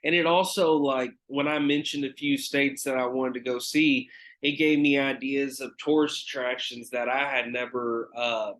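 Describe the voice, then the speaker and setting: A man's voice: mid-range voice, fairly even intonation, normal speed, a bit bright, low-quality recording. A man hosting his own podcast alone, talking casually with many fillers and some swearing, sounding nasal because he is getting over a cold. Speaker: podcast host. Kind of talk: casual solo podcast talk.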